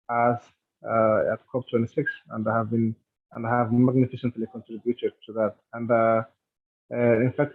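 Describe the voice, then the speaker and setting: A man with a Somali accent giving earnest, formal and halting remarks in a virtual meeting. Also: medium pitch. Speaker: government official and youth climate activist. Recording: thin phone-like call audio.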